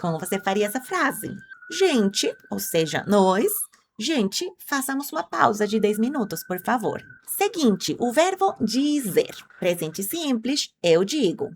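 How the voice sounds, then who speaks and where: A woman's voice: a little high, big pitch swings, measured pace, slight background noise. A woman whose first language is Spanish, teaching Portuguese in an online lesson, explaining patiently and quizzing viewers. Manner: clear, patient explaining with drilled conjugations; asks the viewers questions directly.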